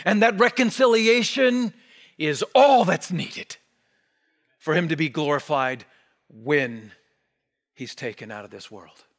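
A man in his forties preaching a sermon from a pulpit, animated and emphatic, dropping to near-whispers on key words. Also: a little high, takes it slowly, long pauses. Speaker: pastor. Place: church stage behind a wooden pulpit.

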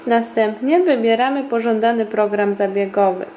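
High-voiced woman instructor in an echoey room. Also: mellow, slight background noise. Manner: formal, measured. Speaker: instructor presenting a beauty device. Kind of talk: scripted instructional narration. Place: small indoor beauty treatment room. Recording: roomy echo, distant mic.

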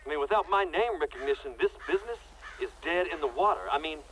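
A man's voice: on the high side, expressive, quick pace, fairly bright tone, a little hiss or hum, low-quality recording. An actor playing an irritated, pushy businessman in a game cutscene. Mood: insistent. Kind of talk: video game cutscene acting.

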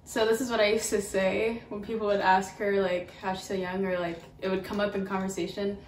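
A woman of 22 hosting a casual Q&A on her vlog, sitting on a living room couch and teasing her mother with quick asides. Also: medium pitch, little pitch movement, a little hiss or hum. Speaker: YouTube vlogger, host. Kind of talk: casual Q&A chat. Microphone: camera mic, from a distance. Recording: roomy, a bit distant.

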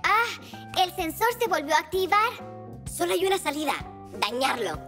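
A woman's voice: very high voice, very animated, moderate pace, exaggerated voice. A woman voicing a small, eager young helper character in a dubbed cartoon. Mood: determined. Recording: loud music under the voice.

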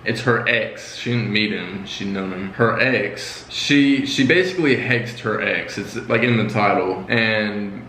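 Low-voiced young man in an echoey room. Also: unhurried, few pauses, pushed and bright. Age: young adult. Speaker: vlog guest. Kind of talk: casual chat. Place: small indoor room at home. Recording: roomy echo with clear background noise.

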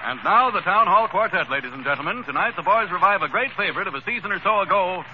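An actor playing a brisk campaign aide and announcer on a 1930s radio comedy show. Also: male voice, sits high, animated, background noise. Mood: announcing. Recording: muffled 1930s radio broadcast.

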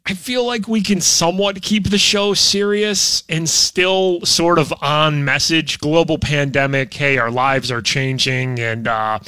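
An American man hosting a comedy show, casually teasing in an animated way and prone to breaking into laughter. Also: fairly high pitch, measured pace, few pauses, smooth, dry recording, clean studio sound.

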